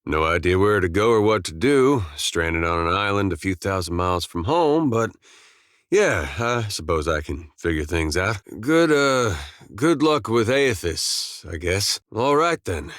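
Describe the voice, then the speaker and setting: An actor playing a laid-back, good-natured farmer turned adventurer in a video game, plain-spoken and folksy with dry, understated humor. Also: male voice, lowish voice, very animated, a little slow, fairly bright tone, dry recording. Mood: wry, concerned. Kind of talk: scripted video game dialogue.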